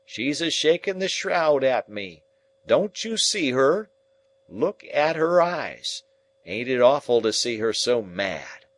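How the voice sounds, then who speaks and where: A man's voice: medium pitch, expressive, a little slow, pitched above the performer's own voice, lightly acted. A man voicing a delirious, terrified man who pleads and screams at a ghost he believes he sees. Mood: terrified raving.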